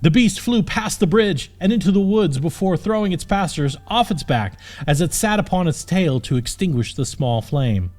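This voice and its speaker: Animated male audiobook narrator. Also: on the high side, normal speed, hardly any pauses. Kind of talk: scripted comic fantasy narration.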